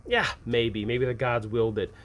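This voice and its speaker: A man's voice: medium pitch, normal speed, fairly bright tone. Casual man giving off-the-cuff advice. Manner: conversational, rhetorical questions answered by himself. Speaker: host of a game master advice show. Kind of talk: casual unscripted advice monologue.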